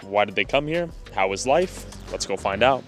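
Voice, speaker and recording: A man's voice: on the low side, expressive, moderate pace. Male street vlogger, casual and upbeat, questions strangers outdoors, music bed under the voice. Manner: upbeat, questioning, ad-read emphasis. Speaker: vlogger interviewing passersby. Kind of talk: casual street interview and sponsor read.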